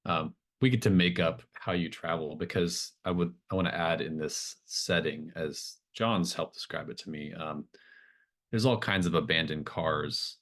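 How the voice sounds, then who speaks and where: A man's voice: low voice, moderate pace, fairly bright tone. A man running a tabletop roleplaying game as game master, talking casually and explaining things in an encouraging way.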